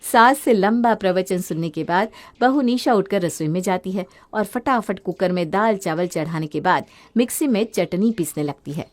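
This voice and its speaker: A woman's voice: medium pitch, lively intonation, normal speed, hardly any pauses. A woman narrating a scripted moral story, speaking straight to the listeners. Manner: addresses listeners directly.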